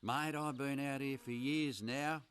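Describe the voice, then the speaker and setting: An actor playing a gruff, foul-mouthed but laid-back rural uncle with an Australian accent in a film comedy-drama. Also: male voice, medium pitch, steady tone, measured pace, fairly bright tone, natural voice. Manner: blunt, sweary, matey slang.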